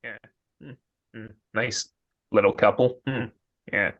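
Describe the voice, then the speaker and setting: A performer playing a gruff, griping working-class moving man in an old-time radio drama, with blunt, slangy talk. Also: medium pitch, fairly even intonation, unhurried, narrow, tinny audio. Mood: gruff, weary. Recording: amateur online radio drama recording.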